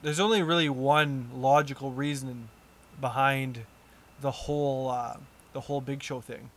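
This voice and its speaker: A man's voice: mid-range voice, fairly even intonation, a little slow, a bit bright. A man co-hosting a casual chat, joking around and laughing easily. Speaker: podcast co-host.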